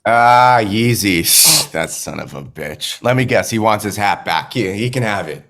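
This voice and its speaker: Man acting unhinged low-voiced film director, wildly swinging. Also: animated, measured pace, creaky, a bit bright, heavily acted. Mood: suspicious, scornful. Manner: stammering, theatrical, erratic delivery. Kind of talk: screenplay table-read acting.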